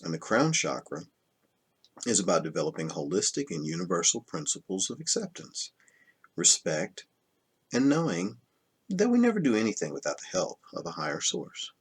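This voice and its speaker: A man reading spiritual self-help nonfiction aloud in a measured, instructional way. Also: deep voice, wildly swooping pitch, slightly rough. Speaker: reader of a self-help book.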